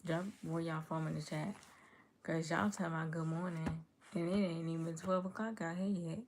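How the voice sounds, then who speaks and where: A woman's voice: low voice, steady tone, normal speed, darkish tone, a little hiss or hum. A woman from Chicago hosting a livestream, chatting easily with viewers and answering their comments aloud. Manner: easygoing, chatty, reads chat comments aloud and answers them. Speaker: livestream host. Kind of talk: casual livestream chat with viewers.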